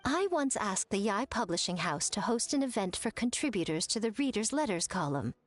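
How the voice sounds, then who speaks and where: A woman's voice: medium pitch, sing-song, a little fast, faint music behind. A woman voicing a sly, teasing, elegant shrine lady in a video game, playful and poised in her delivery. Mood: dismayed. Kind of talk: animated video game voice acting.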